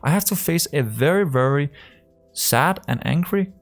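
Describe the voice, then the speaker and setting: A man's voice: fairly high pitch, lively intonation, moderate pace, soft background music. A man from Denmark with a strong Danish accent, talking casually to camera as a trading coach, rambling with many fillers and restarts. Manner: rambling, full of fillers and restarts, acts out conversations.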